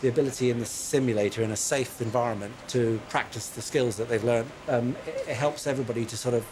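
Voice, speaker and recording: A man supervising controller training, explaining quickly with fillers. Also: medium pitch, fairly even intonation, background noise. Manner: fast, with fillers. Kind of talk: interview.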